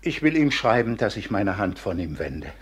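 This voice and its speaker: A grief-stricken, honourable old father in a classical radio drama. The voice is lowish and heavily husky, breathy with age, and moves with solemn pathos through broad swells of pitch. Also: big pitch swings, normal speed, exaggerated voice. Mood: wounded resolve. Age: older. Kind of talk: classical German radio play acting.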